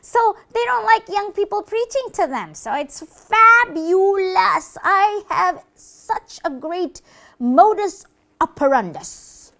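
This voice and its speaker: A woman voicing a gleeful, boastful devil in a children's puppet show, gloating with theatrical, drawn-out emphasis. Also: very high voice, lively intonation, a bit bright, voice pushed up, full character voice. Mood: boastful, scheming. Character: gleeful, boastful devil who leads people astray.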